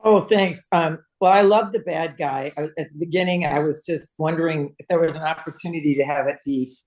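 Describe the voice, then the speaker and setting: A woman's voice: low voice, steady tone, brisk, darkish tone. Casual participant, a woman, giving feedback.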